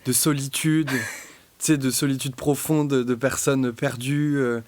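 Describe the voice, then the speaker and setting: A young French man, a guest musician, talking casually and reflectively, with many pauses, fillers and restarts. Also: medium pitch, flat delivery, darkish tone, no echo. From France. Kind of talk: casual podcast conversation.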